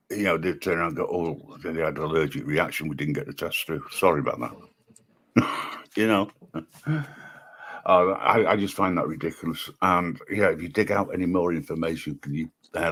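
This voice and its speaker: A man's voice: fairly bright tone, slightly rough. A man, hosting a casual livestream and talking with many ums and restarts. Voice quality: deep voice. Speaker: livestream host.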